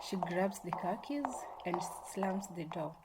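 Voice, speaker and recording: A woman's voice: fairly low pitch, measured pace. A woman reading scripted narration for a radio drama. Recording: very loud background noise.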